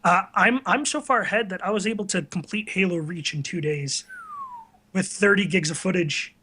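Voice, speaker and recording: Male video creator as interviewee, high-pitched, informal, modest and self-deprecating. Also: normal speed, sharp tone, breathy edge. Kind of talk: casual chat.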